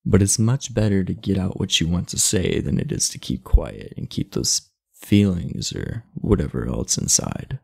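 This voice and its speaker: Casting: man as aloof high school bad boy for ASMR roleplay, very gravelly low voice, blunt yet confiding. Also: lively intonation, normal speed, darkish tone, full character voice. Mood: earnest, confiding. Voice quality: gravelly, husky. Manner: laid-back, blunt, confiding. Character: aloof, muscular, blunt teenage class delinquent, secretly earnest. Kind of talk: intimate ASMR roleplay acting.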